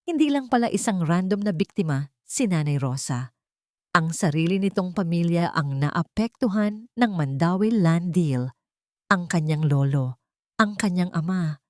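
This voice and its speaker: A woman's voice: fairly low pitch, expressive, measured pace, darkish tone, dry recording, studio quality. A woman with a Filipino accent reading a dramatic scripted romance story as the storyteller.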